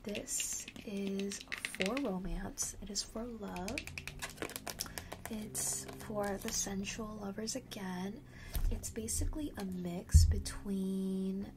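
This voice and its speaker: A woman's voice: mid-range voice, noisy background. A woman whispering breathily in a chatty, playful ASMR roleplay as a boastful fragrance seller, often repeating phrases for emphasis. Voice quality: breathy whisper, mostly unvoiced. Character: friendly, boastful enchanted-forest perfume seller.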